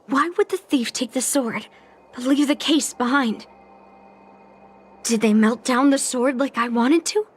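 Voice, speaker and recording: A woman's voice: high-pitched, a little slow, pauses often, breathy edge. A woman voicing a determined, earnest young woman in a video game, thinking through her situation aloud. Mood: pondering. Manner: earnest, pondering. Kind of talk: scripted video game dialogue acting. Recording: music behind the voice.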